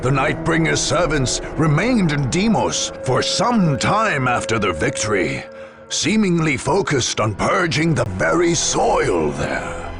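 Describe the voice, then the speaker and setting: A grave chronicler of dark wars narrates a game saga with a gravelly edge and sweeping, lively pitch. Music plays behind him. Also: male voice, takes it slowly, heavily acted. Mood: grave, dramatic. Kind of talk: dramatic scripted video game narration.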